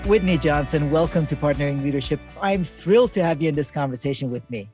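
A man's voice: on the high side, quick pace, over music. A man hosting a podcast interview, asking long, appreciative questions. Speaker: podcast host.

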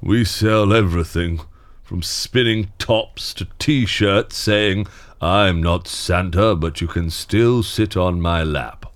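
Glum, low-voiced fake Santa. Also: male voice, slow. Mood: weary salesman. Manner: grumbling, sardonic, reluctant. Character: glum, world-weary fake Santa from a Christmas theme park. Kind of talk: scripted audio drama acting.

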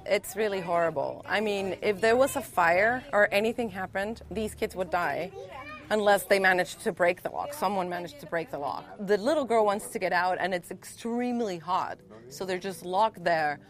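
A woman's voice: mid-range voice, normal speed. A woman reporter describing a scene on location, in the manner of a field report.

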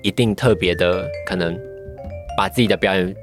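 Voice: low-pitched, moderate pace. Young Taiwanese podcast guest, careful and reflective in answering, music in the background. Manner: thoughtful, careful, qualifying. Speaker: guest, voice-acting trainee. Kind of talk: casual podcast interview answers.

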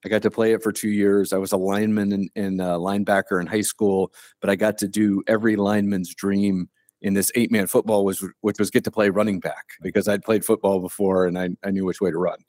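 Retired officer, fifties, from Ohio; low voice, plainspoken, unhurried, remote-call guest. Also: male voice, level tone, darkish tone, dry recording, studio quality. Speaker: guest, retired Army colonel. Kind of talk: casual interview conversation.

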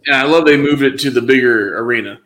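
A man's voice: mid-range voice, fast, fairly bright tone, reverberant. A man co-hosting a casual podcast, rambling with many fillers and restarts. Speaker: podcast co-host. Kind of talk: casual wrestling podcast chat.

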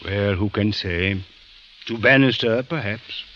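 An actor playing a calm, wise male police officer, measured and philosophical, with 1940s radio acting. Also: fairly low pitch. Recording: dull old radio recording.

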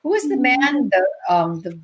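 A woman's voice: fairly high pitch, lively intonation, moderate pace. A woman co-host chatting casually and informally on a panel. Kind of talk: casual chat.

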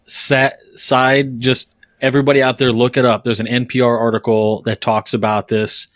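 A man's voice: medium pitch, level tone, moderate pace, a bit bright, no echo. A man with a mid-range voice co-hosts a podcast, jumping in eagerly and animatedly. His sound is close and dry. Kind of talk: casual podcast conversation.

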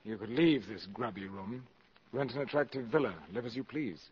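An actor playing a calculating, ambitious valet in a 1950s radio drama, speaking formally and deferentially but with self-assurance. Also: male voice, medium pitch, normal speed, slightly rough, natural voice. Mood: coolly persuasive. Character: smooth, calculating, ambitious valet addressing a countess. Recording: muffled vintage 1950s radio recording.

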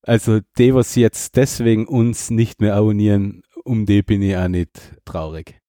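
Man, Austrian accent, lowish pitch, dark relaxed timbre, blunt colloquial podcast co-host, casual chat. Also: moderate pace, no echo, clean studio sound.

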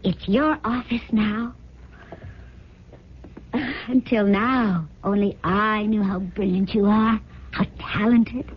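Adoring rich wife in an old radio play, high and breathy, earnest, gushy, dull lo-fi recording. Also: female voice, fairly even intonation, takes it slowly, long pauses. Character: adoring, naive, refined heiress wife. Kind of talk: radio drama acting. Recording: dull lo-fi 1970s radio broadcast.

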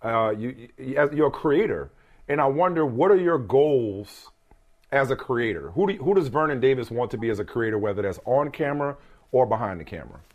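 A man's voice: mid-range voice, expressive, a little fast, narrow, tinny audio. Male host leading the questions. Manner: warm-up questions, frequent "yeah" and "hmm" backchannels. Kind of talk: casual interview talk.

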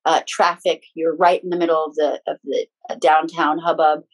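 A woman's voice: sits low, level tone, moderate pace. A middle-aged woman from the Philippines, a podcast guest speaking casually and frankly about her own life in personal anecdotes. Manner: chatty, frank, personal anecdotes with small laughs. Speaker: guest, spiritual teacher and author. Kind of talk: casual conversational storytelling.

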